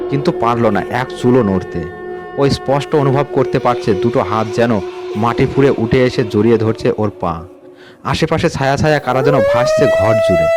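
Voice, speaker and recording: A man hosting and narrating a horror audio story in a dramatic storytelling style. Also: mid-range voice. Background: music under the voice.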